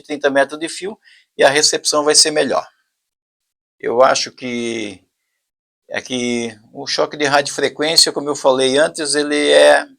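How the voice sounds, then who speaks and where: A man with a Brazilian Portuguese accent giving an informal technical explanation as a tutorial presenter, haltingly, thinking aloud and repeating himself. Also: a little high, vocal fry, a bit bright.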